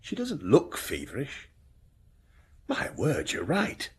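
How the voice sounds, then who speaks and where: An actor playing a deferential, easily flustered English country doctor in a British radio drama, polite and hesitant. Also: male voice, medium pitch, wildly swooping pitch, slow pace, darkish tone, breathy edge, exaggerated voice.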